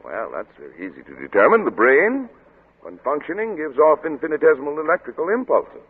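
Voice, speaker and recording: An actor playing an arrogant, obsessed middle-aged scientist, brisk and lecturing, in old radio drama style. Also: male voice, on the high side, wildly swooping pitch. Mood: eager, lecturing. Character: obsessed, arrogant male scientist, cold and impatient. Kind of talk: 1940s radio drama acting. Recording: narrow-band, noisy old radio recording.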